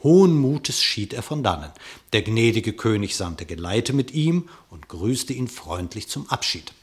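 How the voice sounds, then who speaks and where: A male narrator with a lowish voice tells a tale in verse for a radio drama. His delivery is declamatory and expressive, shaped as formal storytelling. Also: unhurried.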